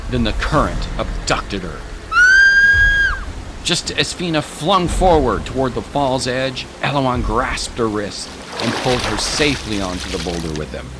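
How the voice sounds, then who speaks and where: A man narrating a dramatic scripted story. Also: medium pitch. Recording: music and rain sounds behind.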